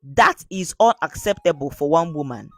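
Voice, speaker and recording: A woman's voice: low voice, lively intonation, normal speed, a bit bright, no echo, soft background music, heavily acted. A woman voicing a well-meaning, apologetic village helper in a folktale, formal and earnest.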